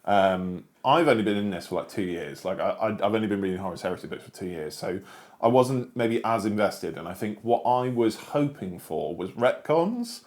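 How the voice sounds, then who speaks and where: A man's voice: fairly low pitch, expressive, normal speed, a little mellow. A man in a casual, informal discussion, talking in a slightly hesitant, hedging way. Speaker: discussion show panelist. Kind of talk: casual chat, book discussion.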